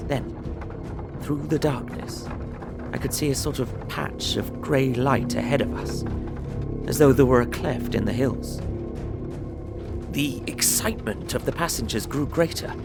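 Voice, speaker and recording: An actor playing an observant young gentleman traveller, narrating his journal in measured, literary audio drama style. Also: medium pitch, vocal fry, loud music behind the voice. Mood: uneasy, descriptive. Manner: measured, descriptive storytelling.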